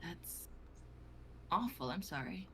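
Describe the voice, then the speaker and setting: A woman acting a gentle, forgiving old friend in an understated, conversational dramatic reading on a livestream. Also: on the low side, sing-song, slow, a little hiss or hum, heavily acted. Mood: sympathetic.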